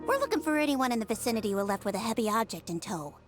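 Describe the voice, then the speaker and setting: A woman's voice: on the high side, animated, rapid-fire, soft background music, natural voice. A woman voicing an earnest, polite, methodical young woman investigator in a video game. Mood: pondering. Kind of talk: animated video game voice acting.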